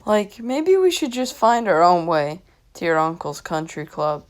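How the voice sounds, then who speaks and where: A woman's voice: fairly low pitch, sing-song, a little slow. A woman voicing a cowardly, laid-back young man who exclaims in slangy bursts for a storybook. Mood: uneasy. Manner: peppers speech with "like", exclaims.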